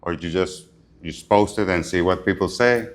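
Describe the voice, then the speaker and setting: A man, interviewing a guest with measured, probing questions. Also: low-pitched.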